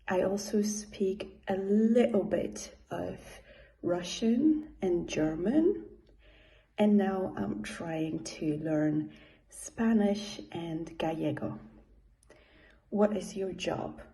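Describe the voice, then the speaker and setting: A woman from Poland, Polish by first language, who works as an English teacher, answering interview questions with hesitant pauses. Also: mid-range voice, dark tone. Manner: slow, with hesitant pauses and fillers.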